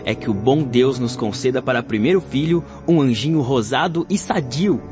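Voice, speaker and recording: A male actor as an earnest, fussy young husband in a Brazilian radio drama. His voice is mid-pitched. Clear music plays behind the dull recording. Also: moderate pace, natural voice.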